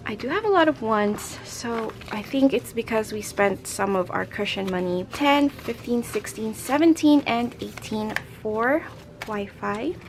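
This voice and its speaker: A young woman with a raspy, hoarse voice, chatting casually as a budgeting vlogger and counting out cash into envelopes. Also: fairly high pitch, animated, a little slow, a little hiss or hum. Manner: chatty, rambling, counts money aloud. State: hoarse from a late night. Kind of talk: casual chat while stuffing cash envelopes.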